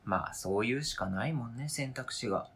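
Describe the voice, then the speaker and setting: Man playing a sharp, husky, indignant accuser. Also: mid-range voice, a little fast. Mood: cold indignation. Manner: pedantic, interrogating, formal argumentative phrasing. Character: wounded, indignant adult, coldly logical and relentlessly accusing. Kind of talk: radio drama script reading.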